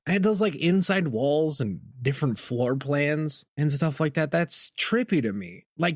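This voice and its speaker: A man's voice: on the high side, no echo, studio quality. A young man hosting a podcast, rambling casually and informally with slangy, profane turns of phrase. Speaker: podcast host.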